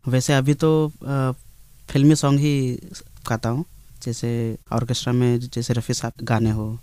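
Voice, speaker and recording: Halting man with a clear voice. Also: mid-range voice, little pitch movement, warm tone, no echo. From India. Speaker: guest singer being interviewed. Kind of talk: conversational interview answers.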